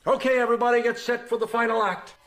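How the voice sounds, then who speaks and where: An actor playing a bossy, theatrical and excitable stage director in a radio drama. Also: male voice, very high voice, quick pace. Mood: commanding.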